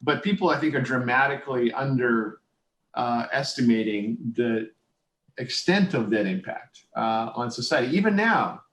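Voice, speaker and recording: A man's voice: mid-range voice, animated, normal speed, a bit bright. Man, concerned, echoey room. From United States. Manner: earnest, concerned, many fillers. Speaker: panel speaker, business professor. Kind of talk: panel discussion. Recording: roomy echo.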